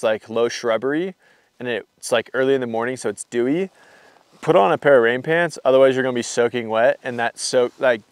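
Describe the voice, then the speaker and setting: Young man, casual vlogger, rambling with fillers, smooth clear voice, dry sound. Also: medium pitch, no room sound. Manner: rambling, filler-heavy, relaxed explaining. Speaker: hiking vlogger. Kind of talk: casual gear walkthrough. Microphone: camera mic.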